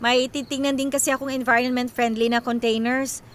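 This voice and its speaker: An actress playing a tired, generous young Filipino breadwinner daughter in a radio drama, with a wry, teasing manner. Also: female voice, a little high, level tone, fairly quick, slight background noise, lightly acted. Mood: practical. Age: young adult. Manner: teasing, self-deprecating.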